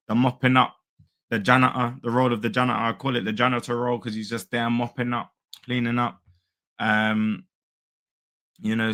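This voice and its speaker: Hoarse young guy doing a footy reaction vid, chatty and upbeat, says um a lot. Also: lowish voice, a bit bright. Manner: chatty and upbeat, lots of fillers. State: losing his voice. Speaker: football fan channel host. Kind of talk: casual match reaction vlog.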